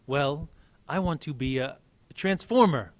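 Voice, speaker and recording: A man voicing an eager small boy in a storybook, earnest and excitable. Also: fairly high pitch, measured pace, no echo, pitched above the performer's own voice. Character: eager small boy who loves toys.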